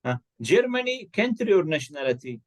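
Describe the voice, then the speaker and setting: A man's voice: high-pitched, big pitch swings, fairly quick. A man, teaching an English lesson and patiently coaxing and correcting his student's answers. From Egypt. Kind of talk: one-to-one online English lesson.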